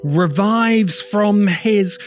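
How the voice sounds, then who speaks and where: A man hosting an explanatory lecture for viewers, speaking emphatically and deliberately with dramatic pauses. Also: sits high, faint music behind. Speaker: host of a science fiction lecture show.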